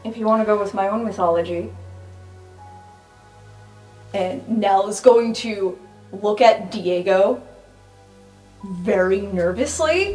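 Woman player on a roleplay podcast, mid pitch, casual n a bit hesitant, soft music behind. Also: low-quality recording. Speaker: player in a tabletop roleplay game. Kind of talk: casual improvised roleplay chat.

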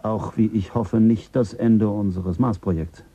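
Male actor as a dry mission director, low, very dark, husky, gravelly. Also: unhurried. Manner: calm, dry, ironic. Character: weary male space mission director, family man. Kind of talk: radio play acting.

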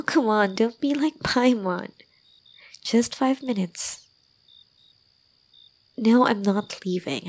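ASMR roleplay: gentle young girlfriend caretaker, played by a woman. Slow, breathy, soft, soothing, a little teasing. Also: on the high side. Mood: caring, coaxing. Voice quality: soft, breathy, often slipping into whispers. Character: gentle, doting young woman caring for a drunk lover. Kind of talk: ASMR roleplay, intimate one-sided dialogue.

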